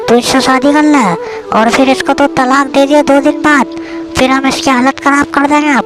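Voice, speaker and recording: Woman voicing a high-pitched flustered village father. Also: close to an everyday voice, low-quality recording. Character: scheming, flustered middle-aged village father, debt-ridden. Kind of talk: cartoon story dialogue. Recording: music behind the voice.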